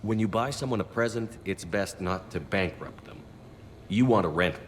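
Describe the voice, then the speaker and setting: Game role, man acting supportive military commander. Very deep, composed, dry-humoured, long reverb and some noise. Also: moderate pace, lightly acted. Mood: calm, wry. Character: male military commander, steady, wry, supportive human soldier. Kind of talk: video game dialogue acting.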